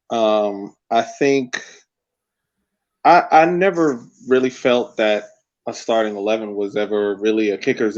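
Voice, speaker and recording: A man co-hosting a casual sports podcast, hesitant and halting, often restarting his sentences. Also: mid-range voice, dull audio. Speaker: podcast co-host.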